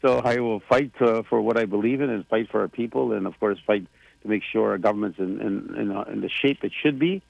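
Gravelly-voiced man in his sixties. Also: on the low side, brisk, dry recording, dull audio. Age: 60s. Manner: rambling, warm, many fillers and restarts. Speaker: president of a government, radio guest. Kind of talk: casual radio chat.

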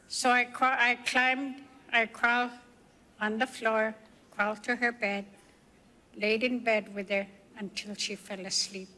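An older woman from the Canadian Arctic, a guest speaker giving personal testimony in slow, measured storytelling. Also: a little high, sharp tone, in a large hall.

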